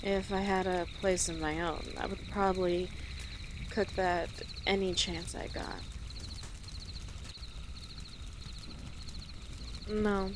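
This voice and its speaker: A woman playing an easygoing, friendly female orc travel guide in an audio roleplay, speaking gently and conversationally to the listener with pauses. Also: medium pitch, flat delivery, background noise, lightly acted. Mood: relaxed, hopeful. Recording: outdoor nature ambience with birdsong behind. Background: birds and rural outdoor ambience.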